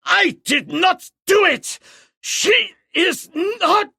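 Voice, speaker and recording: A man voicing a burly, desperate German officer who cries out in a high, pleading voice. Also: expressive, very slow, gravelly, bright, forceful tone, no room sound, studio quality, full character voice. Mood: screaming.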